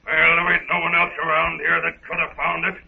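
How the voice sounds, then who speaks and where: An actor playing a rough, threatening mutineer sailor in a 1930s radio serial, blunt and slangy, with a gravelly voice. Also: male voice, on the high side, monotone, brisk. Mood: menacing. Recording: muffled 1930s radio recording.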